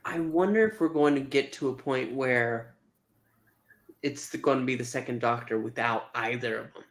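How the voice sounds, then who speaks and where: A man's voice: mid-range voice, normal speed. A man co-hosting a podcast, chatting casually as a fan giving a review.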